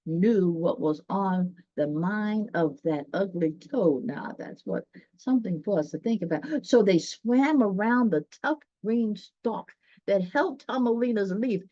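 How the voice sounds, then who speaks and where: A woman's voice: medium pitch, animated, measured pace, dark tone, dry recording, lo-fi sound. A woman reading a children's story aloud expressively, with folksy, chatty asides to her listeners.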